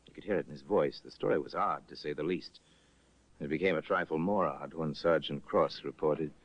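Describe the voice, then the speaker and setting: A man narrating a radio crime drama in a measured, grave manner. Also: very deep voice, husky, dry recording. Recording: muffled old radio broadcast.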